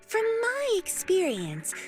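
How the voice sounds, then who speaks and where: Lively high-voiced young starlet, teasing. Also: female voice, slow pace, faint music behind, exaggerated voice, poor audio. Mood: upbeat, coaxing. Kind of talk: video game voice acting.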